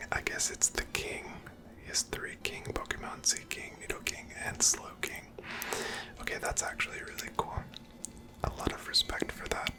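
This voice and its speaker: Breathy whisper, ASMR gameplay commentary, gentle, casual play-by-play, fully unvoiced. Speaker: host of a gameplay series.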